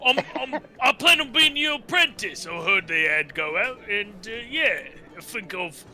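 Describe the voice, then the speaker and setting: An earnest, deferential would-be apprentice cook acted by a man in a tabletop game. The voice is high and piercingly bright, with halting delivery and faint music underneath. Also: lively intonation, exaggerated voice. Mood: eager, deferential.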